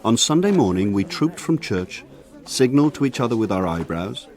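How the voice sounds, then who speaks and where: An actor playing a grown man who narrates his rough village boyhood in a measured, wry radio drama style. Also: mid-range voice, very animated, a little mellow. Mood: wry, reflective. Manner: measured, wry, lyrical phrasing. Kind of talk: scripted radio drama narration, literary storytelling.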